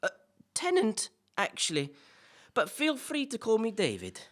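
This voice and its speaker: Casting: male actor as nervous, deferential podcast presenter for comic audio drama, lively swooping delivery, eager to please. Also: high voice, a little slow, full character voice. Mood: awed, polite. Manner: hesitant, apologetic, nervously deferential. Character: flustered, polite, eager-to-please male celebrity podcast host. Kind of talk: comic audio play acting.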